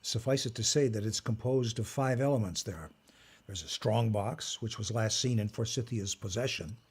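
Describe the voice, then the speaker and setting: A man acting in an audio drama as an old magician and collector, formal, smug and lecturing, with a measured delivery. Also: lowish voice, husky. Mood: calm, explanatory. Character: old male magician and artifact collector, commanding, smugly moralizing.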